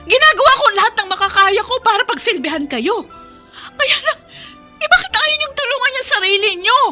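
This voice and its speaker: A woman's voice: very high-pitched, lively intonation, brisk, sharp tone, faint music behind, heavily acted. A woman with a Filipino accent playing a weary, devoted daughter in a radio drama, with a pleading, long-suffering manner. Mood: tearful pleading. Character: weary, devoted middle-aged daughter caring for her father.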